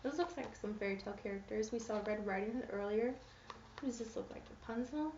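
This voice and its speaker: A woman giving an expressive, interactive children's read-aloud in a teacherly way, putting questions to young listeners. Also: a little high, fast, recorded from a distance. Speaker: teacher reading a picture book aloud. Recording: echoey room, muffled, noisy background.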